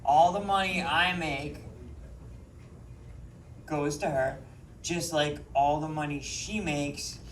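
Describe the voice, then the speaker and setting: A man's voice: fairly high pitch, fairly even intonation, slow, pauses often, a little hiss or hum. A man with a Boston accent doing a rambling, self-mocking stand-up set on a comedy club stage, with plenty of ums. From Boston, USA. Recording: roomy, mic at a distance.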